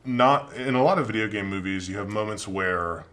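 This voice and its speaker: A man with a fairly low voice takes part in a casual film review. He talks informally and haltingly, doubling back on his phrases as he shapes his opinion. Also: a bit bright. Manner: informal, halting, repeats himself.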